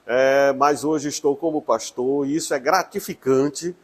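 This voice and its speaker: A man who is a church pastor, giving an earnest personal testimony and pausing now and then with fillers. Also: mid-range voice, steady tone.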